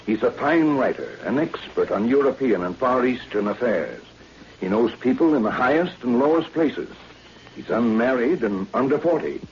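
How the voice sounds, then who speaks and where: A man's voice: mid-range voice, sing-song, normal speed, natural voice. Male actor as self-assured American syndicate boss, businesslike, swinging intonation, 1940s radio play, dull recording. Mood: confident, insistent. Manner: emphatic, businesslike. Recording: muffled vintage radio recording with hiss.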